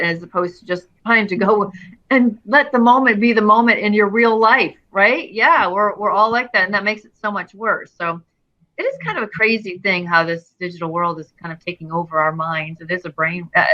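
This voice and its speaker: This middle-aged woman talks with a bright, forceful voice. She speaks earnestly and expressively as an interview guest and often restarts her phrases. The audio is a little dull and lo-fi. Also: on the low side. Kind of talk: casual interview conversation.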